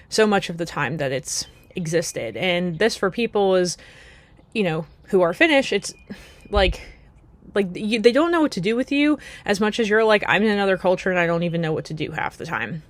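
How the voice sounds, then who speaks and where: A woman's voice: on the low side, no room sound. A young American woman talking through her thoughts in a casual, reflective way, with many fillers and restarts. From United States. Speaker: vlogger.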